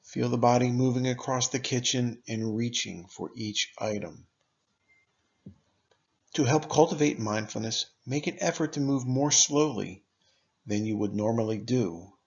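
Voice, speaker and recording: A man narrating a scripted guided meditation in a measured, instructive manner, pausing deliberately between phrases. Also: medium pitch, darkish tone, muffled recording.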